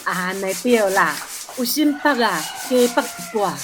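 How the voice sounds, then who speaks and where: A woman's voice: mid-range voice, lively intonation, a little slow, a bit bright, slight background noise. An actress playing a nosy, agreeable village neighbour woman with a Taiwanese accent in a radio drama, chatty and full of interjections. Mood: agreeing, chatty.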